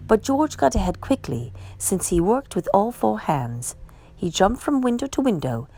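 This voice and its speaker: A woman reading a children's picture book aloud as a storyteller, with expressive, measured delivery. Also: mid-range voice, gravelly, dry recording. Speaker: storytime reader.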